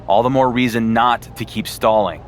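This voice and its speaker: A man's voice: medium pitch, fairly even intonation, moderate pace, slight background noise, natural voice. An actor playing a confident young male wrestling champion in a video game, with a defiant edge. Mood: impatient. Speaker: player character.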